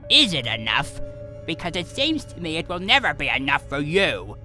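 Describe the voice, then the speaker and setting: A man's voice: a little high, huge pitch swings, moderate pace, bright, forceful tone, full character voice. A man voicing a defiant, bitter alien general in a comic dub, stern and commanding, with music behind him. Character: battle-hardened alien general, defiant, bitter son of the emperor. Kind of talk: dramatic comic dub acting.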